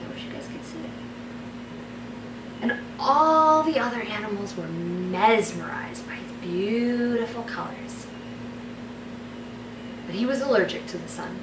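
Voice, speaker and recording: A woman vlogger telling stories in a casual, chatty and playful way, speaking straight to her viewers. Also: medium pitch. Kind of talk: casual storytelling to camera. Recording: roomy echo, distant mic, background noise.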